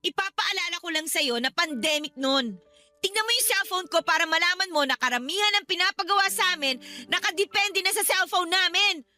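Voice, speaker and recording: A woman's voice: very high voice, fairly quick, bright, forceful tone, faint music behind, heavily acted. A woman playing an argumentative, aggrieved teenage younger sister with a Filipino accent in a Tagalog radio drama. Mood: indignant. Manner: whiny, argumentative.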